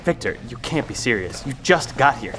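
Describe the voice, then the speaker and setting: An actor playing an earnest professor among survivors in an audio drama, asking precise, probing questions. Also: male voice, mid-range voice, sing-song, normal speed, music in the background, lightly acted. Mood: urgent, disbelieving. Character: earnest, inquisitive male professor leading a band of survivors.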